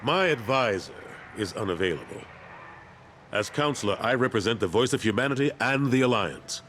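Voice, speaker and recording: A man acts out an earnest human statesman in a sci-fi game. He speaks at a medium pitch in a formal, firm manner, with slight ambience behind. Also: lively intonation, normal speed, fairly bright tone, a little hiss or hum, natural voice. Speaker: politician character. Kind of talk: video game dialogue.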